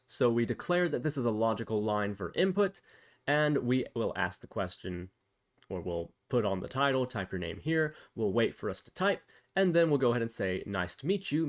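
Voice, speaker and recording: A man presenting a casual step-by-step programming tutorial, explaining and thinking aloud as he demonstrates. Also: mid-range voice.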